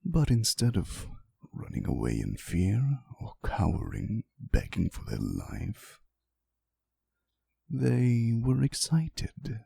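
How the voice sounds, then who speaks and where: A man playing an ancient vampire boyfriend in an intimate ASMR roleplay, soothing and unhurried, gently teasing and fond as he tells stories. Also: mid-range voice, wildly swooping pitch, gravelly, slightly rough, no room sound, heavily acted. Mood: storytelling, amused.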